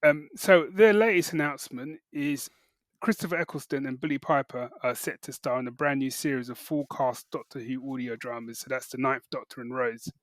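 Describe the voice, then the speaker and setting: A man's voice: medium pitch, brisk, no echo. A British man co-hosting a podcast, explaining things in casual, informal chat with some hesitant phrasing. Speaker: podcast co-host reporting news.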